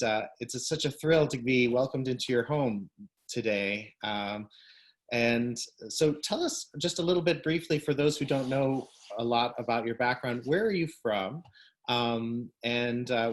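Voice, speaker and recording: A man, an orchestra's music director, hosting an online interview and praising his guest. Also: medium pitch. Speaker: host, music director and conductor.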